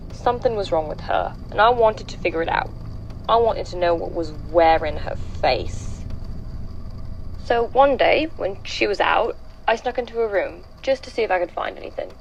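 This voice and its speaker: A woman's voice: mid-range voice, highs cut off, slight background noise. A woman playing an anxious young woman giving a hesitant, conversational account of frightening, uncanny events in a horror audio drama. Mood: troubled, puzzled. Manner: hesitant, conversational, trailing off and self-correcting. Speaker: voice actor in a horror fiction podcast. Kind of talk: naturalistic confessional horror monologue.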